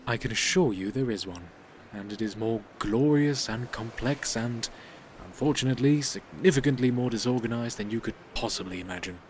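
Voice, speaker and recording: An actor playing a polite, unflappable, eccentric reaper in an audio drama, speaking formally and with fussy precision. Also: male voice, mid-range voice, slight background noise, lightly acted. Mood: calm, fussy. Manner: formal, measured, fussily precise.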